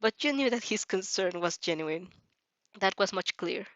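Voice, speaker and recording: A woman's voice: medium pitch, normal speed, no echo. Dull-sounding recording of a giggly woman reading. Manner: giggly, stumbles over lines, expressive. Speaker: reader reacting to fan fiction. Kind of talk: animated read-aloud with casual reactions.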